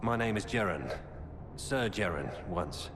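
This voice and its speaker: An actor playing a weary, earnest former knight turned defector, giving grave, urgent warnings in a video game. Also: male voice, fairly low pitch, animated, measured pace. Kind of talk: dramatic video game dialogue. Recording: cavernous reverb with loud background noise.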